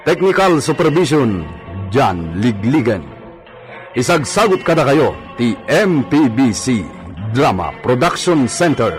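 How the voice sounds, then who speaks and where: A man with an Ilocano accent narrating a radio drama in a dramatic scripted style. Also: medium pitch. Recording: music behind the voice. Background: dramatic music.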